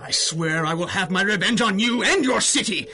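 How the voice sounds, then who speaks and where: High-voiced, theatrical sorcerer villain. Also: male voice, lively intonation, normal speed, pushed and bright, slight background noise, heavily acted. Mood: vengeful. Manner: theatrical, pompous, menacing. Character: malevolent scheming sorcerer villain posing as humble old shopkeeper. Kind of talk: 1930s-style radio drama acting.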